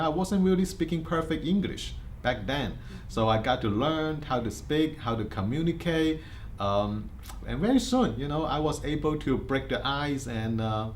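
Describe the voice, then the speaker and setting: A man's voice: medium pitch, a little hiss or hum. Hong Kong-accented man telling his story. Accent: Hong Kong Cantonese. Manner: earnest, many fillers and restarts. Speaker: insurance agency head. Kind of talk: interview life story.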